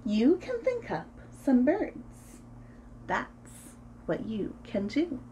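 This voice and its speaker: A woman in her thirties reads to small children in a high, sing-song voice. Her pitch swoops up and down expressively, and her tone stays soft-edged and mellow. She sounds like the host of a preschool story time. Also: wildly swooping pitch, slow pace, slight background noise. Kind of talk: picture-book read-aloud for preschoolers. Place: small room at home, indoors.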